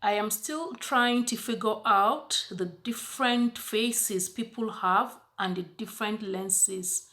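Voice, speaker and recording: A woman reading a spoken-word monologue in a reflective, halting way. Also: mid-range voice, pushed and bright.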